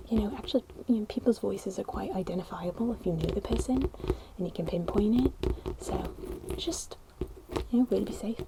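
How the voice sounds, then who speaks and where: A young woman speaks in a hushed, posh British whisper, right up against a sensitive microphone. Her pitch sits in a middle range, and she talks in a gentle, meandering way. A steady hiss runs clearly under the whole recording. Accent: put-on posh British English. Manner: gentle, rambling, apologetic. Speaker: ASMR creator. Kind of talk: soft-spoken ASMR chat. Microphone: close sensitive ASMR microphone.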